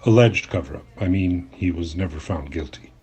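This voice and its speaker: Very deep-voiced, earnest journalist. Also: male voice, moderate pace, darkish tone, husky, heavily acted. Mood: curious, skeptical. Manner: conversational, earnest storytelling. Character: journalist cousin, earnest, curious, mildly skeptical storyteller. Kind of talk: audio drama acting with first-person narration.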